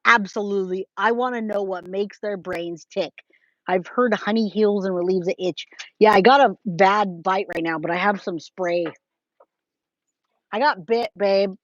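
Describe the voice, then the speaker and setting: A woman's voice: mid-range voice, unhurried, no echo, lo-fi sound. An American woman in her forties chatting casually with her livestream viewers, informal and emphatic, often repeating herself for effect. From California, United States. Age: 40s. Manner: informal, addresses viewers as guys, babe, my love. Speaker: true crime livestream host. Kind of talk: casual livestream chat, replying to viewers' comments.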